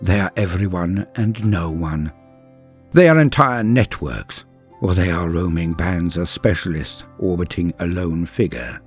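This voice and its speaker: A man with a husky voice narrating scripted fantasy lore in a theatrical, portentous manner with deliberate pauses. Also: deep voice, a little mellow. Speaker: narrator and host of a lore channel. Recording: music playing behind the voice. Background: background music.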